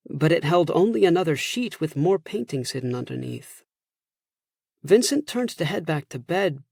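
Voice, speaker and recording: A man reading a children's fantasy story aloud as an expressive audiobook narrator. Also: a little high, vocal fry, breathy edge, no room sound, studio quality.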